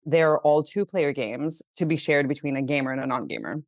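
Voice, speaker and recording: A woman's voice: deep voice, fairly quick, no room sound. Chatty woman from Greece, dry close mic. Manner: chatty, laughs easily, many "like"s and "you know"s. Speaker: game studio CEO, guest. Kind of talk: casual podcast interview.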